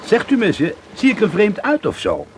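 An actor playing a friendly, forthright art teacher on holiday in a naturalistic radio drama. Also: male voice, high voice, wildly swooping pitch, normal speed, background noise. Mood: chatty, puzzled.

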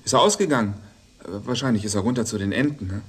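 A man's voice: fairly low pitch, fast, slightly rough. An actor playing a polite, puzzled young policeman in a naturalistic radio drama. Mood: casual, unsuspecting. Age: young adult.

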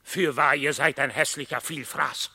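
An actor playing an indignant, pious badger who scolds in reproachful, exclaiming verse in a radio play. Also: male voice, mid-range voice, steady tone, normal speed, creaky, bright, forceful tone, breathy edge, full character voice. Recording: long echoing reverb.

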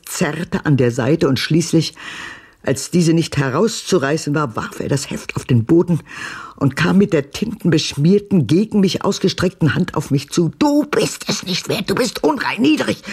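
Husky, gravelly old woman. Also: deep voice, exaggerated voice. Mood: agitated, then hysterical fury. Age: older. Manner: dramatic, intense storytelling. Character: old embittered woman recalling her tormented colonial marriage. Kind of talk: radio drama monologue.

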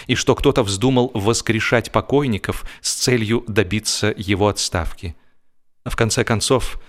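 A man reading humorous fiction as an audiobook narrator, with theatrical, wry delivery and varied emphasis. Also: lowish voice.

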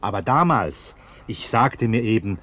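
An actor playing a defensive, sententious middle-aged bourgeois husband in a German radio play, blustering and indignant. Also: male voice, fairly low pitch, lively intonation, unhurried, fairly bright tone.

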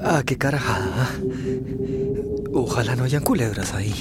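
An actor playing a nervy, eager young male migrant in a radio drama, with excitable delivery. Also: mid-range voice, moderate pace, natural voice. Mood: jokey. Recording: loud music and effects over voice.

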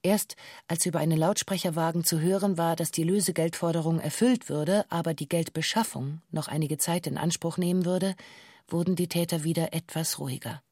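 An actress playing a woman taken hostage, giving a formal, measured, factual witness account in a documentary radio play. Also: low voice, a little mellow, no echo, clean studio sound. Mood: sober, uneasy.

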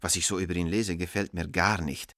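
A man's voice: low-pitched, steady tone, fairly quick, fairly bright tone, dry recording, clean studio sound. An actor playing a witty, self-mocking art dealer in his forties, reading his letters eloquently in a radio drama. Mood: uneasy, affectionate. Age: 40s.

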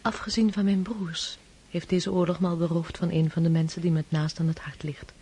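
A woman's voice: fairly low pitch, level tone, fairly quick, mellow, husky. An actress playing a romantic, gossipy young society lady, reading out a letter in a confiding way in a Dutch radio drama. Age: young adult.

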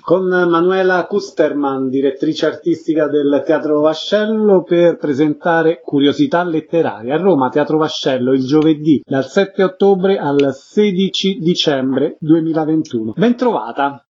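Husky higher-pitched male radio host. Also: measured pace, few pauses, a little mellow, soft background music. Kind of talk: radio interview presenting. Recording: muffled, band-limited radio interview audio.

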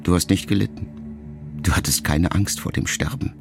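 A man in a radio production reading a letter aloud as literary narration, quiet and reflective, with phrase endings that trail off softly. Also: deep voice, moderate pace, vocal fry, over music.

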